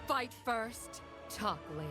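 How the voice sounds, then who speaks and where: A woman's voice: fairly high pitch, animated, slow pace, over loud music. An actress playing a resolute, commanding woman war leader in a video game. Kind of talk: video game character voice lines.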